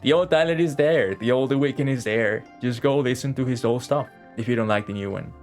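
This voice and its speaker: A man's voice: mid-range voice, fairly quick, darkish tone. Male game streamer, mid-range voice, casual rambling chat, repeats himself, faint music behind. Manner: rambling, repeats phrases as he thinks aloud.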